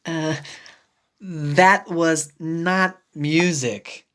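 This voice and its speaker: A woman voicing a polite, kindly elephant in a read-aloud storybook, speaking in a halting, tactful way. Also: low-pitched, steady tone, a bit bright, a little hiss or hum, heavily acted. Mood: careful, apologetic.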